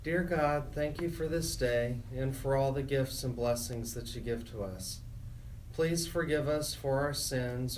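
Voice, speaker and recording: A man's voice: medium pitch, a little mellow. A middle-aged man hosting a talk radio show, speaking as an attorney and announcer. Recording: distant mic, noticeable background noise.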